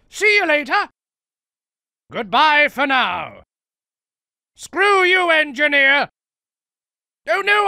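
A man's voice: extremely high pitch, vocal fry, sharp tone, dry recording, clean studio sound, exaggerated voice. A man voicing a fussy, indignant middle-aged civilian for improvised video game lines, prim, whiny and pleading. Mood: peevish.

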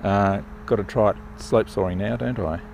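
An older man flying a model plane in an open field outdoors, giving relaxed, enthusiastic commentary as he flies. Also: lowish voice, normal speed, gravelly, a little hiss or hum. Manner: relaxed, enthusiastic, thinking aloud while flying. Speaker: RC pilot reviewing a plane. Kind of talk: casual live flight commentary.

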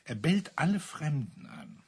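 A man playing a self-assured, wry colonel in a crime radio play, husky and breathy, teasing and savouring his account. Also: on the high side, wildly swooping pitch, a little slow, a little mellow, heavily acted. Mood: amused relish. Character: wry, self-assured male colonel relishing a murder mystery.

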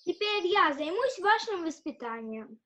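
A woman's voice: very high-pitched, very animated, unhurried, fairly bright tone, exaggerated voice. A child playing a prim, bossy girl doll who instructs and scolds like a governess in an audio play. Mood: prim, strict.

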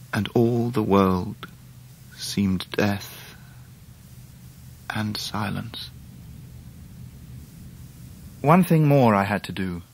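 An actor playing a reflective English gentleman, narrating his life in a radio drama in a measured, sombre way. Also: fairly low pitch, lightly acted. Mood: grieving, hushed. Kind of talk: dramatised first-person narration.

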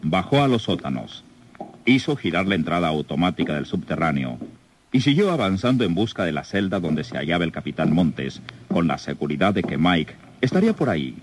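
A man's voice: sits low, very animated, fairly quick, slight background noise. A man reading scripted narration for an old radio drama serial.

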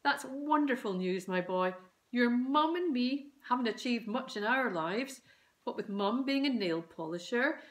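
A woman doing a gruff weary dad. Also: fairly high pitch, lively intonation, unhurried, voice pushed up. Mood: earnest. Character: weary middle-aged dad, gruff security guard.

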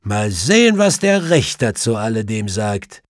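A man voicing a gruff, brisk police inspector with a growling manner in an audiobook. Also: on the low side, big pitch swings, dry recording, clean studio sound. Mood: gloating.

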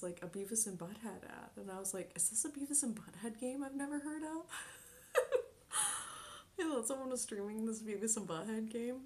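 A young woman talks casually into a desk mic in a small bedroom, laughing easily and thanking viewers as she goes. She often slips into soft, breathy near-whispers between her normal chatty lines, and a faint low rumble sits under the room. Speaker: Twitch streamer. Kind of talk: casual live-stream chat, reading chat aloud.

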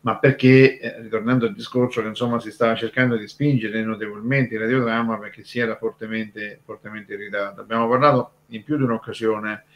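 A man hosting a casual talk on radio history, speaking in a halting, digressive way and often rephrasing himself. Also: fairly low pitch, fairly even intonation, lo-fi sound. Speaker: radio show host.

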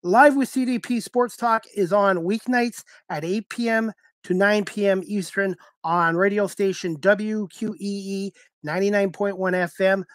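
High-pitched middle-aged man hosting. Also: fairly even intonation, measured pace, no room sound, studio quality. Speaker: sports talk show host. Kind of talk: hosting a talk show. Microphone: headset on a video call.

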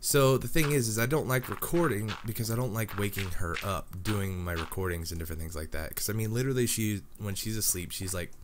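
A man's voice: on the low side, fairly quick, few pauses. A young man with a head cold, his voice nasal and scratchy, chatting casually while playing a game. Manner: rambling, chatty, many fillers like "um" and "like". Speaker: Minecraft YouTuber. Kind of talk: casual commentary over gameplay. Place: home room, recording near a sleeping girlfriend. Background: background music.

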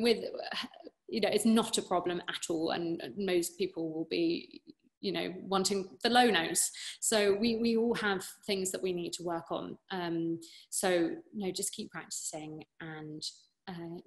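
British woman, 20s, warm casual Q&A chat, webcam sound from a living room. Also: medium pitch. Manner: chatty, encouraging, many fillers and restarts. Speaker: opera singer answering questions. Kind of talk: casual online Q&A. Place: living room at home, indoors. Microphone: laptop webcam on a video call.

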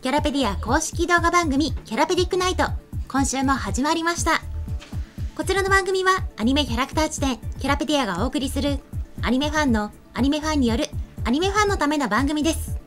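A woman's voice: high voice, expressive, quick pace, a bit bright, faint music behind. A woman hosting and presenting an online talk show from a studio desk microphone. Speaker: host. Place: small indoor studio set at a table. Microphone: desk microphone on a stand.

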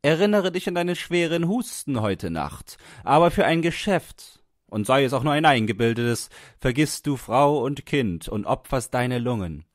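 A man's voice: medium pitch, sing-song, normal speed, no echo, clean studio sound, pitched above the performer's own voice, close to an everyday voice. A man voicing a coal dealer's stern wife in an audiobook story, firm, scolding and dismissive.

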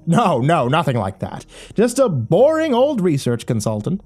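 A man voicing a suave, self-assured married gentleman in an audio story, with smooth and formal speech. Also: on the high side, wildly swooping pitch, moderate pace, faint music behind, pitched above the performer's own voice. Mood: urbane, friendly. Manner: smooth, formal, politician-like confidence. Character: confident, suave, well-built married man, genteel research consultant.

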